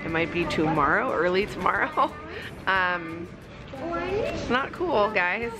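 A woman's voice: mid-range voice, very animated, slow, over loud music. A woman, a mother and vlog host, giving a wry, tired update to her handheld camera in an airport. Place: airport gate area, large indoor hall. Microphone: handheld camera at arm's length.

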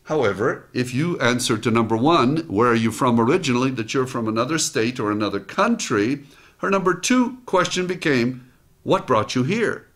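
Older man, from Israel, motivational show host, storytelling, acts out quotes, mid pitch, small studio, close mic. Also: animated, normal speed, fairly bright tone. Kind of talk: direct-to-camera motivational talk. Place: small home studio set, indoors.

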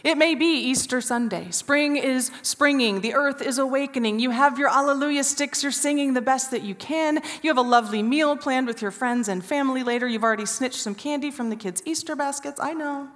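A woman in her forties preaching an Easter sermon from a church stage, telling a story with hushed, drawn-out pauses. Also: high-pitched. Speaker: pastor. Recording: reverberant church hall.

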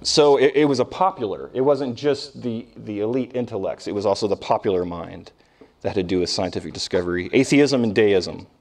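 A man giving an informal classroom lecture, conversational and emphatic, asking rhetorical questions and pausing for weight. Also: lowish voice, a little mellow. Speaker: history professor lecturing. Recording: distant microphone in live lecture.

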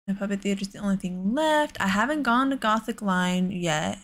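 A woman's voice: medium pitch, expressive, normal speed. A woman hosting a casual let's play, with an exasperated, sarcastic way of talking. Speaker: gaming host.

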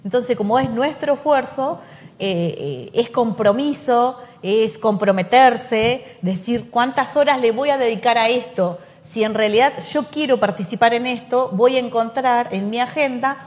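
A woman's voice: fairly high pitch, a little slow, darkish tone, long reverb tail. A middle-aged Argentine woman teacher talking earnestly in a studio interview, with many fillers. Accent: Argentine Spanish. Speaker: teacher of economics, guest. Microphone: handheld mic.

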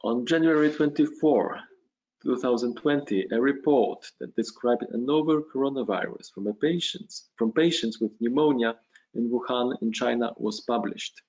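A male physician delivers a measured academic lecture, at a middle pitch. The sound is dull and lo-fi, like a video call. He pauses now and then on small fillers. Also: a little mellow.

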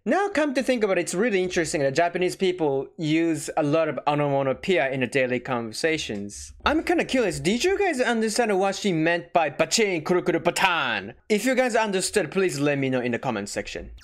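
Lively young man chatting from home. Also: on the high side, fast, few pauses. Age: young adult. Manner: amused, chatty, often laughing between thoughts. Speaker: reaction video host. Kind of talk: casual commentary reacting to clips. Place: small home room with curtains. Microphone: desk microphone on stand.

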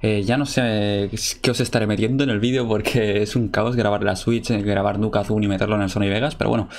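A man's voice: lowish voice, little pitch movement, fast. A young man with a Spain Spanish accent, chatting casually to viewers over gameplay, full of fillers. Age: young adult. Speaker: gaming video creator.

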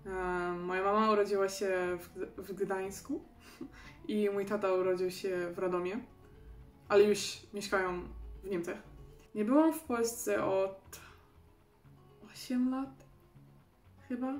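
Woman, 20s, German accent, casual vlog chat, self-deprecating, mid pitch, roomy echo. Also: fairly even intonation, unhurried, long pauses, faint music behind. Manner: chatty, self-deprecating, laughs often. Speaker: vlogger. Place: small bedroom indoors.

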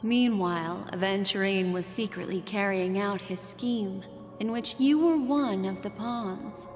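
A woman's voice: mid-range voice, darkish tone, lightly acted. A woman voicing a hypnotic fortune-teller. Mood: soothing, enigmatic. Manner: slow, hypnotic storytelling. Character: mysterious woman fortune-teller, soft and knowing. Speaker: game character narrating a recap. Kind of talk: video game cutscene voice acting. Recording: game audio with long reverb and music.

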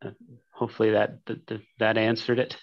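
A man's voice: lowish voice, little pitch movement, normal speed, dry recording. A man answering council questions over a video call in a hesitant, polite way, using many fillers. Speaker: applicant's representative answering council. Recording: dry muffled video call audio.

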